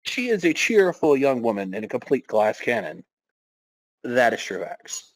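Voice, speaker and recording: A man's voice: medium pitch, big pitch swings, measured pace, no room sound, rough recording. A man hosting a podcast, giving a dramatic reading with casual, wry asides. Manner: wry, self-interrupting commentary. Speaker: podcast host.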